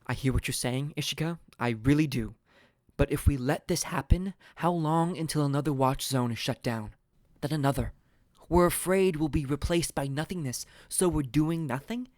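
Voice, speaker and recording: Actor as dry-sounding, principled, exasperated government employee. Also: male voice, fairly high pitch, normal speed, a little mellow, dry recording, lightly acted. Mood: earnest, insistent. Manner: earnest, pleading, argumentative. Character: principled, exasperated young male government employee challenging his boss. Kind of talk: naturalistic audio drama dialogue.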